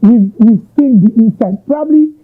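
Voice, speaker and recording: A man's voice: extremely high pitch, expressive, moderate pace. A Nigerian man hosting an interview, asking long, winding questions with Yoruba asides. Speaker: interviewer, host. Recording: tinny narrow-band audio.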